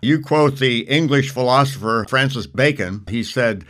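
Male talk radio host with a bright, forceful voice. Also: medium pitch, normal speed. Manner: brief affirmations while listening. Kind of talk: radio interview.

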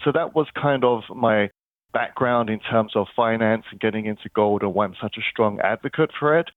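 A man's voice: fairly low pitch, moderate pace, dry recording. A man with a London accent, a guest telling his story in an earnest, chatty way over the phone. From England. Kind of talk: phone interview. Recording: tinny phone line, dry.

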